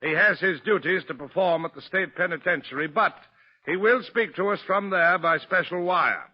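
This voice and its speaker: A man's voice: high voice, moderate pace, breathy edge. An actor playing an earnest male political rally speaker, declaiming formally in 1940s radio drama. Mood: proud, formal. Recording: muffled, lo-fi old radio recording.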